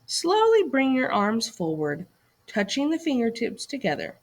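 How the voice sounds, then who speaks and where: A woman's voice: a little high, huge pitch swings, normal speed. A woman reading a scripted educational lesson aloud to children as a teacher, putting questions and directions to young listeners.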